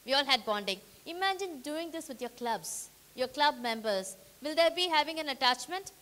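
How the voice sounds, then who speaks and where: A woman runs a live workshop, her voice high and bright. She talks with energy, firing quick questions at the room to draw the crowd in. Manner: energetic, rallying the audience with questions. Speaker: workshop trainer. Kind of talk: interactive training session. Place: meeting hall with a live audience.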